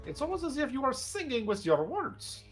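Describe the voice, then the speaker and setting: A player with a mid-pitched voice whose pitch swings wildly as they ham up a fake Russian accent. The delivery is playful and mock-solemn, with faint music behind. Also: big pitch swings, moderate pace. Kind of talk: casual chat with a joke Russian accent.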